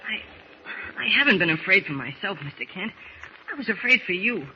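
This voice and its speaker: An actor playing an earnest, anxious young lad in an old-time radio drama, speaking hesitantly. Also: male voice, high-pitched, sing-song, normal speed. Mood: worried. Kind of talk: 1940s radio acting. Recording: dull, hissy old radio recording.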